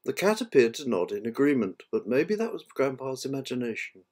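An older man reads his own storybook aloud. His voice sits at a medium pitch, with the measured delivery of an amateur narrator rather than an actor. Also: very animated, a little mellow. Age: 60s or older. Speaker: author reading his own book.

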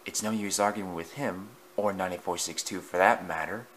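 A man voicing a calm, wise older male steam engine who speaks in a dry, measured way. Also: medium pitch, slight background noise.

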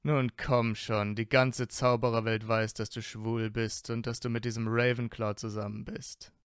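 A man playing a proud, vain young wizard in a fan audio drama, haughty, mocking and quick to take offence. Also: medium pitch, level tone, smooth, no room sound, studio quality, close to an everyday voice. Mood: probing. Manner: haughty, indignant, teasing.

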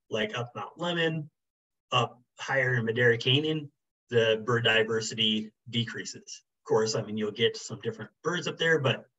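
A middle-aged man talks through a birding workshop from a small room over a webcam. His voice is mid-pitched and slightly husky, and he talks casually with plenty of fillers. The call audio is a little dull and muffled. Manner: relaxed, many fillers like um and you know. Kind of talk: casual online workshop talk. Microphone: video call webcam.

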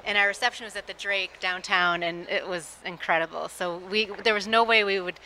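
A woman's voice: mid-range voice, steady tone, a little fast, sharp tone, slight background noise, low-quality recording. An American woman from Chicago chatting casually as an interviewed party guest. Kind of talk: casual chat.

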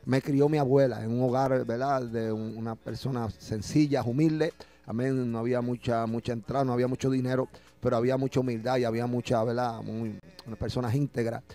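Mellow-voiced Puerto Rican man giving testimony. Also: mid-range voice, fairly even intonation, brisk, soft background music. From Puerto Rico. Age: middle-aged. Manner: frequent verbal tags seeking agreement. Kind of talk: personal religious testimony. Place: small radio studio. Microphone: close broadcast microphone with headphones.

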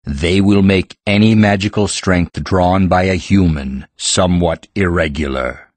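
An actor playing an ancient, solemn living heart of a mountain in a fantasy audiobook, speaking formally like a pedantic teacher. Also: male voice, low voice, darkish tone, no echo. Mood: solemn, instructive. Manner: formal, pedantic, measured wording.

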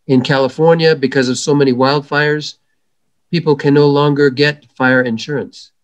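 A middle-aged man, an environmental educator, giving measured, earnest answers in a video call interview. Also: a little high, steady tone. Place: home room.

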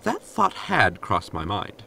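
An actor playing a sardonic, scheming sidekick to a space captain, deadpan and dryly insolent, in a sci-fi comedy audio drama. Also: male voice, fairly low pitch, takes it slowly, gravelly, heavily acted. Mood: dry, teasing.

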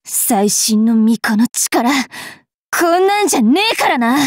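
A woman voicing a bratty, cruel young fairy witch in an anime game, haughty and taunting with a rough tongue. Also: on the high side, sing-song, slow pace, dry recording, clean studio sound, heavily acted. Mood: taunting, aggressive. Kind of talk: Japanese anime game character voice lines.

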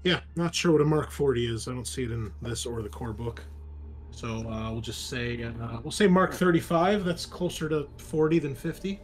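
A man's voice: mid-range voice, moderate pace, a little hiss or hum. A man acting as game master, talking casually and thinking aloud as he checks the rules. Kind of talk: casual tabletop game refereeing.

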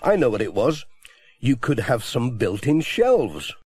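A man's voice: medium pitch, big pitch swings, unhurried, a little mellow, slightly rough. A man voicing a friendly, good-natured village postman in an easygoing, helpful way. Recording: dry close audiobook recording.

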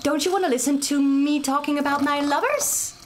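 A woman as a vain, gushing puppet maiden, high-voiced. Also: natural voice. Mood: boastful, giddy. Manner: gushing, drawn-out, sing-song. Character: vain, giggly maiden sister, boastful about her lovers. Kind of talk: theatrical live puppet-show comedy. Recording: music playing behind the voice.

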